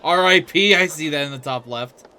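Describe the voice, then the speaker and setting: A man's voice: a little high, moderate pace, background noise. A man hosting a reaction video with casual, rambling commentary and occasional casual swearing.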